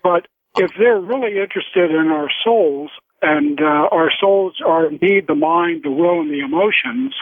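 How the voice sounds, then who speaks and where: A man calling in to a radio show, musing in a casual, halting way. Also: on the high side, lively intonation, no room sound. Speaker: call-in listener. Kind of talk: casual radio call-in chat. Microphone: phone call. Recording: thin, narrow phone line.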